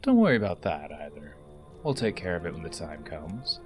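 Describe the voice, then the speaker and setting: An actor playing a smug, menacing blackmailer in an audio drama, controlled and threatening. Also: male voice, deep voice, big pitch swings, normal speed. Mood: quietly threatening. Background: prominent music.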